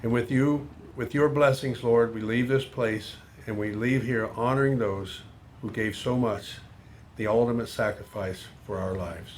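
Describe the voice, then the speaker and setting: A man's voice: medium pitch, fairly even intonation, a little slow. A man giving a closing prayer outdoors, solemn and delivered phrase by phrase. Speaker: prayer leader. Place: outdoor veterans' memorial ceremony. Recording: outdoor ceremony, faint background noise.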